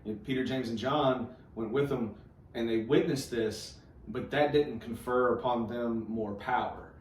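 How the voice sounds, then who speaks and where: A man's voice: medium pitch, fairly even intonation, measured pace. Roomy, distant-miked man hosting church chat. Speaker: host of a church read-along show. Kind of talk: casual conversational Bible discussion. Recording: echoey room, distant mic, faint noise.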